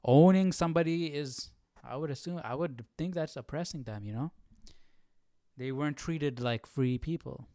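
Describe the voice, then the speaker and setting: A man's voice: a little high, moderate pace, warm tone, dry recording, clean studio sound. A man hosting a casual Bible study livestream, thinking aloud in a musing, questioning way. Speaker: host of a live Bible study.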